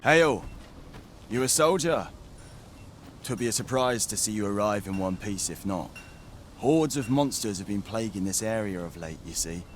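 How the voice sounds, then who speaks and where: A man's voice: lowish voice, lively intonation, moderate pace, a little hiss or hum, natural voice. A man playing a dutiful, earnest town soldier in a fantasy game, speaking courteous archaic English. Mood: concerned.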